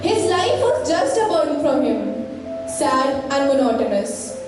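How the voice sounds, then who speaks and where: A woman's voice: high-pitched, very animated, slow pace. A woman delivering scripted narration to introduce a stage play. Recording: echoey hall, distant mic, music behind.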